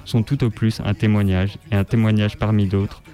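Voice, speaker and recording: A man reading a written text aloud on the radio in a measured, articulate, declamatory way. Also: on the low side, little pitch movement, dark tone. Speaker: radio reader. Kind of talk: scripted literary reading aloud.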